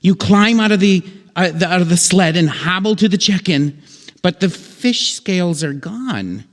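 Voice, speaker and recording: Male, animated higher-pitched voice for a theatrical, exasperated angler-narrator, stage radio comedy, reverberant hall. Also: measured pace. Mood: dramatic narrating. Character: animated second-person narrator playing a baffled, exasperated ice angler. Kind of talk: old-time radio variety show storytelling, live on stage.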